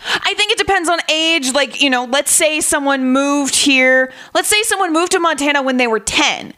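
Bright high-voiced woman radio co-host. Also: normal speed. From Colorado. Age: 30s. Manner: relaxed, thinks aloud with fillers like "like" and "you know". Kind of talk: casual radio chat.

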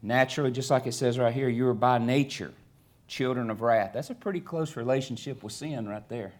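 Man, Southern US accent, middle-aged, guest preacher, casual halting sermon delivery, reading scripture aloud. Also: mid-range voice, a little mellow. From Alabama, USA. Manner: conversational, halting, many fillers and restarts.

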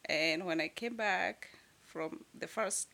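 Woman, Kenyan accent, podcast guest, slight vocal fry, relaxed storytelling, quick to laugh. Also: fairly low pitch. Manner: relaxed, laughs often while telling her story. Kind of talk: casual storytelling interview.

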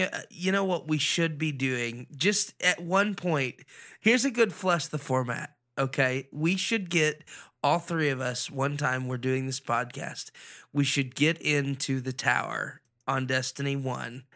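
A man's voice: a little high, measured pace, sharp tone. A man co-hosting a podcast, talking casually in an enthusiastic, rambling way. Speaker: podcast co-host.

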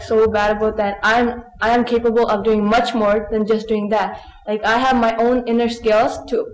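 A woman's voice: fairly high pitch, darkish tone. A teenage girl from Pakistan, a native Punjabi speaker, giving a personal account as a student speaker. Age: teens. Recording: echoey room, played-back conference recording.

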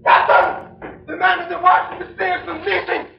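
A terrified deckhand in an old radio drama, calling out in long, shrill cries. The voice is extremely high and cracked with creak. It comes from far off with echo, on a muffled old recording. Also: male voice, level tone, normal speed, a little hiss or hum, heavily acted. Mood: panicked, alarmed. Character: panicked male deckhand crying out across a ship. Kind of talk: 1930s radio acting.

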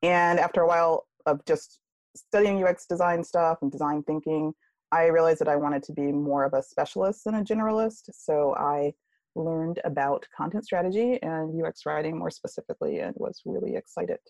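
A woman guest on a podcast interview talking about her career in a relaxed way, with many fillers. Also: deep voice, normal speed, vocal fry, no room sound. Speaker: guest, UX writer. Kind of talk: casual interview.

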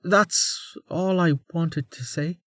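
A man voicing a young female ship commander, earnest and urgent, pitched well above his own voice. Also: slow pace, a bit bright, no echo, studio quality.

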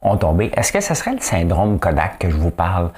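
Host, male, Quebec French accent, low voice with a lively, swinging delivery, solo chatty commentary. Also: fairly quick. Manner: chatty, asks "hein?" often, joking asides. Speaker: solo online show host. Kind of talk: casual solo commentary show.

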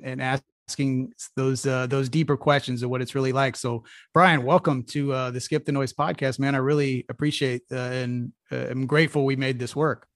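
A man's voice: medium pitch, no echo, studio quality. A man hosting a podcast who talks casually and rambles, with many fillers and restarts. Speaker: podcast host. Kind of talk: casual interview.

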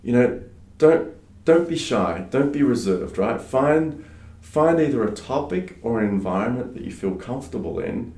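A man who grew up in Australia with French as his first language, talking casually as an interview guest, relaxed and full of fillers and restarts. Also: mid-range voice, normal speed. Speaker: guest, startup advisor. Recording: roomy echo.

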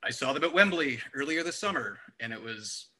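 A man's voice: medium pitch, lively intonation, fairly quick, pushed and bright. A man co-hosting a music podcast, chatting casually and emphatically, repeating words and acting out imagined quotes. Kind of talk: casual album discussion.

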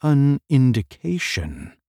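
A man voicing an ancient, ponderous answering machine, measured and cryptic, in a science fiction audiobook. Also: mid-range voice, huge pitch swings, mellow, no echo, studio quality, voice pushed up, full character voice. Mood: pondering.

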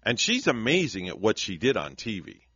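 A man's voice: on the low side, wildly swooping pitch, normal speed, highs cut off, a loud crowd. An older man from New York co-hosting a morning radio show, joking and chatting in a playful, casual way. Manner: jokey, playful, slips into comic impressions.

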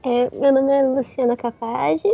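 A woman comics artist introducing herself as a podcast guest, speaking softly. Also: high voice, flat delivery, measured pace, gravelly, muffled recording. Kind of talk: casual podcast chat.

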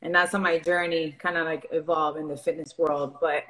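A woman's voice: low-pitched, flat delivery, normal speed, a bit bright, poor audio. A young woman from Venezuela, a fitness coach and guest on a podcast, talking openly and earnestly with many fillers. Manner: open, earnest, filler-heavy. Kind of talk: casual interview chat.

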